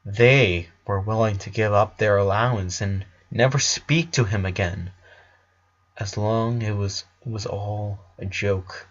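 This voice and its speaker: A man's voice: on the low side, measured pace. A man reading a fan fiction story aloud as a dramatic narrator. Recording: dull lo-fi recording.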